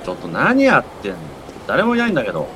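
A man acting a fussy, exasperated straight-man friend with quick retorts in a comedic radio drama. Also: medium pitch, huge pitch swings, background noise. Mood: annoyed.

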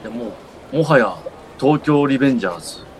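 A man acting a fussy, exasperated straight-man friend with quick retorts in a comedic radio drama. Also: mid-range voice, expressive, slight background noise, close to an everyday voice, poor audio. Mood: startled, baffled.

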